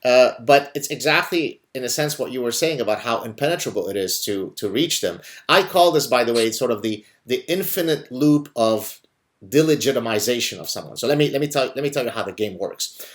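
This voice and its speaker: A man's voice: mid-range voice, animated. A man from Lebanon whose first language is Arabic, hosting a conversation and telling stories emphatically, often checking in with the listener. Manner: emphatic, speaks fast, often checks in with "right?". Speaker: host. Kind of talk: casual conversation, animated storytelling.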